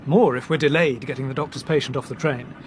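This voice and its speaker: An actor playing a breezy, quick-witted young Englishman, jaunty and theatrical, in a British radio drama. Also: medium pitch, fairly even intonation, background noise, close to an everyday voice. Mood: jaunty, teasing. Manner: jaunty, teasing, theatrical storytelling.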